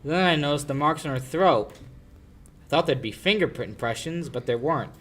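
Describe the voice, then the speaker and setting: A man's voice: on the high side, fairly quick, a bit bright. A young man acting a brash, stubborn lawyer in a 1940s-style radio drama. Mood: grave, measured. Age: young adult. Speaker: high school actor.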